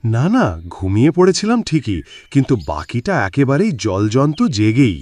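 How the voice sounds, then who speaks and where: A man voicing a lazy, sleepy, good-natured farmer in a cartoon moral story, with whiny, drawn-out exclamations. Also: mid-range voice, sing-song, a little mellow, a little hiss or hum, lightly acted. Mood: protesting. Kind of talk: animated moral story voice acting.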